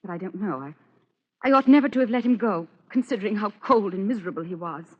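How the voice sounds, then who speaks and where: A woman's voice: mid-range voice, lively intonation, quick pace, close to an everyday voice. An actress playing a guilt-ridden, proud married woman, dramatic and anguished, in an old-time radio melodrama. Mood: distraught. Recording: muffled old radio recording.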